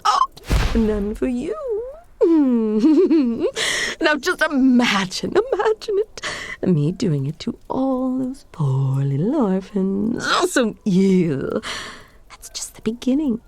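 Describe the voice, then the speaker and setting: A vain, scheming evil sorceress, played by a woman, gloats through a comedic villain monologue. Her voice swoops widely up and down, and she drags her words out slowly. A gravelly rasp runs under her theatrical, whispery delivery. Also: fairly high pitch, big pitch swings, dry recording, heavily acted. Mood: gloating, then triumphant.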